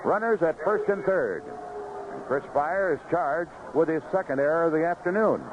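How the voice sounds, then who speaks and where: Male baseball announcer, live play-by-play, very lively intonation, dull and noisy old radio sound. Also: on the high side, normal speed. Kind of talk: live play-by-play sports commentary. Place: outdoor ballpark broadcast booth. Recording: noisy muffled vintage 1970s radio broadcast.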